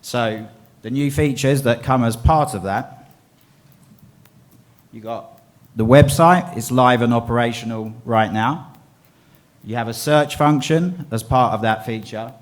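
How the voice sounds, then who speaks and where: A man presenting product features at a conference, brisk with frequent ums. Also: medium pitch. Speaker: company representative presenting at a conference. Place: conference hall.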